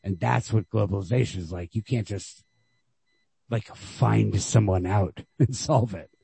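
A man talking through a book in casual discussion, halting and peppering his thoughts with "like". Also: on the low side, vocal fry, warm tone, husky, no echo. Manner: halting, filler-heavy with frequent "like", casual swearing. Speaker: podcast host discussing short stories.

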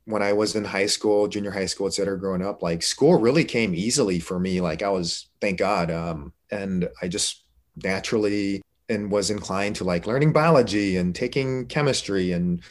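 A man's voice: deep voice, normal speed. A middle-aged man, an interview guest, telling his personal story in an informal, reflective, conversational way. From Korea, moved to the United States.

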